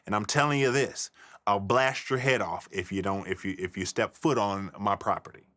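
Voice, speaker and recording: A comic caricature of an angry conservative American homeowner, acted out by a man. He is gruff, blunt and folksy, with a threatening edge. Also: fairly low pitch, normal speed, bright, forceful tone, voice pushed down. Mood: belligerent.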